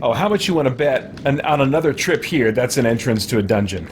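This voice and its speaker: Man musing aloud over loud game noise. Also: mid-range voice, animated, fairly quick. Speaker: game streamer. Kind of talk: casual live gaming stream chat.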